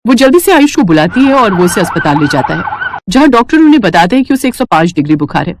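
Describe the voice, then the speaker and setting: A woman's voice: a little high, sing-song, fast, noisy background. A woman reading scripted moral-story narration as the storyteller.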